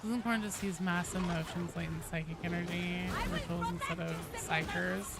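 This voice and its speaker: A woman livestreamer chatting to her viewers in a casual, rambling, animated way, with salty slang. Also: on the low side, moderate pace, music in the background, crowd noise. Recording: clearly noisy background.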